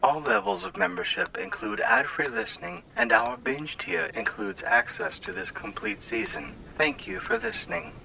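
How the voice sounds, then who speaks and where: Very creaky, sharp, high-voiced male announcer. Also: animated, moderate pace, few pauses, a little hiss or hum, poor audio. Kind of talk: scripted disclaimer and promo.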